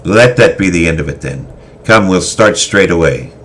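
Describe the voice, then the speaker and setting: A man's voice: sits low, animated, normal speed, a bit bright. A man voicing a cold, callous, battle-hardened male swordmaster with a commanding manner. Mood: stern, commanding. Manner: commanding, stern.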